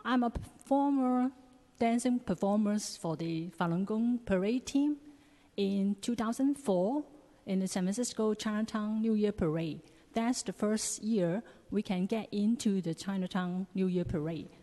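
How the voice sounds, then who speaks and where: A woman with a Cantonese accent, a native Cantonese speaker, giving prepared public testimony at a meeting in halting, non-native phrasing. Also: mid-range voice, mellow. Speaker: public commenter. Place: large echoing public meeting chamber. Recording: long echoing hall reverb.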